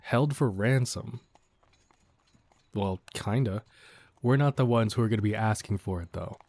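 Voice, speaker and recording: Man acting a cocky, low-voiced bandit leader. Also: a little slow, darkish tone, dry recording. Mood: wry, conspiratorial. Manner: casual, folksy drawl of mock courtesy, wry. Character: cocky, teasing, surprisingly considerate male bandit leader. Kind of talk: audio roleplay acting addressed to the listener.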